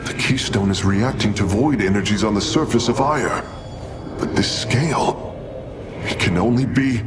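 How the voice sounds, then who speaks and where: A man's voice: lowish voice, moderate pace, over music, close to an everyday voice. A man voicing an earnest, cautious alien engineer in a game cutscene, with precise, formal delivery. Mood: concerned. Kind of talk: dramatic scripted game cutscene acting.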